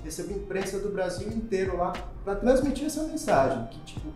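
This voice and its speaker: A man in his thirties with a Pernambuco Brazilian accent talks as a guest in an interview, animated and laughing easily. His voice sits a little high for a man. It rings hard in a bare, very echoey room, with music playing faintly behind him. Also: fairly quick. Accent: Pernambuco Brazilian Portuguese. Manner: animated, gestures a lot, laughs often. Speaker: press officer guest. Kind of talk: casual interview chat.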